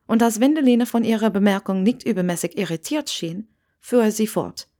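A woman's voice: medium pitch, animated, moderate pace, mellow. A woman reading a scripted story as an audiobook narrator.

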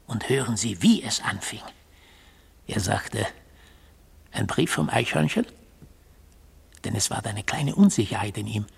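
Brooding obsessive guy, gravelly and really raspy, solemn old radio drama monologue. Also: on the low side, sing-song, heavily acted. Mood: intense, insistent. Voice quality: very husky, gravelly. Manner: deliberate, solemn, portentous. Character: intense, brooding male accused, obsessively recounting his pursuit. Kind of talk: literary German radio drama acting.

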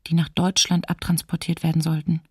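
Wartime diarist, subdued, husky, monotone, hushed radio drama reading, a little off-mic. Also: female voice, sits low, brisk, dry recording, clean studio sound, recorded from a distance. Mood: hushed, shaken. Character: woman diarist soberly witnessing occupation terror.

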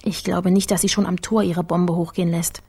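A woman's voice: fairly low pitch, flat delivery, fairly quick, vocal fry, dark tone, husky. An actress playing a shrewd, wry female investigator in a radio drama, speaking tersely as if thinking aloud. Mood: reasoning aloud.

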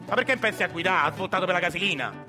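A man with a Roman accent playing an excitable, scheming, hot-tempered middle-aged father who talks in an urgent, bossy way. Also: high voice, racing, music in the background, pitched below the performer's own voice.

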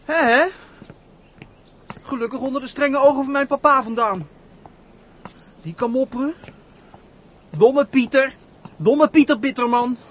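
A man's voice: very high voice, a little slow, pauses often. An actor playing a naive, chatty young man, a steward's simple son, in a radio play. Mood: relieved, mocking.

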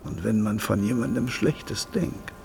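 A man's voice: fairly low pitch, unhurried, gravelly, darkish tone, faint music behind. A man playing a suspicious, ruthless Byzantine emperor in a German radio play, with a commanding, dramatic delivery. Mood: cynical.

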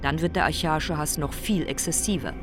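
An actor playing a brooding, philosophical ailing man in a radio play monologue, speaking in deliberate, emphatic short phrases. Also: medium pitch, over music, close to an everyday voice. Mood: brooding, reflective.

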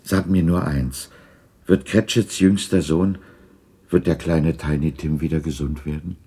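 An actor playing an old miser in a radio play, shaken and timid, with hesitant, earnest speech. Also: male voice, rumbling low, fairly even intonation, measured pace, warm tone, husky, exaggerated voice. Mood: anxious. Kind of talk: 1960s German radio play acting.

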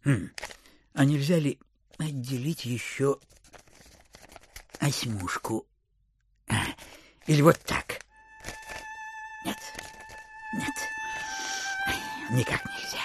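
An actor playing a miserly, suspicious old landowner in an audio drama, scolding, grumbling and muttering. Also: male voice, mid-range voice, expressive, extremely slow, long pauses, a little hiss or hum. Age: older.

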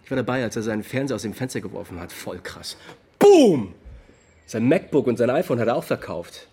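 An actor playing an agitated, indignant young friend with slangy, emphatic delivery in a naturalistic radio drama. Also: male voice, medium pitch, very animated, a little fast, warm tone, breathy edge.

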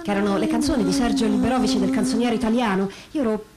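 A woman's voice: a little high, very fast. A woman telling a personal memory with emphatic repetitions, in the manner of a staged reading. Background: music.

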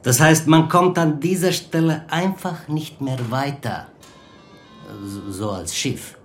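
An actor playing a menacing, condescending biker club boss in a crime radio drama. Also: male voice, a little high, faint music behind. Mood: mocking, menacing. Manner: slow, condescending, threatening.

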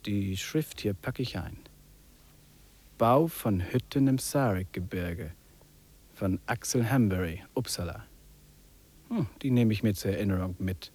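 An actor in a 1950s radio drama playing a wry, contemplative English trekker who muses and drops in English phrases. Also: male voice, lowish voice, darkish tone, slightly rough. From England. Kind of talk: 1950s German radio drama acting, reflective monologue and dialogue.

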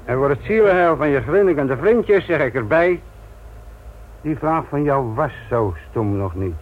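An actor playing a gruff, sardonic senior police detective lecturing trainees in a radio play. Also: male voice, on the high side, animated, moderate pace. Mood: sarcastic, stern. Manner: sarcastic, authoritative, lecturing. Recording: muffled, noisy old radio play recording.

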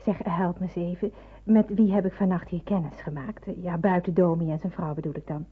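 A woman's voice: on the low side, steady tone, a little fast, breathy edge, no room sound. An actress in a Dutch radio drama playing an anxious young woman in hiding, musing and questioning hesitantly. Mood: curious, unsure. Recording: narrow phone-like old radio recording.

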